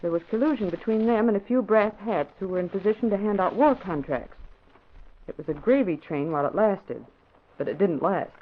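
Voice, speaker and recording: An actress playing a wry, capable newspaper secretary with dry wisecracks in an old-time radio drama. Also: female voice, medium pitch, animated, fairly quick, close to an everyday voice. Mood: wry, reading. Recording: dull, noisy vintage radio recording.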